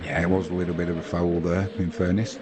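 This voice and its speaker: A lower-voiced English man calls a football match live, mixing play-by-play with easygoing chat aimed at his viewers. Clear background noise sits under his voice throughout the stream. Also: flat delivery, quick pace. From England. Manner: conversational play-by-play, reading out viewer comments. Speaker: watch-along commentator.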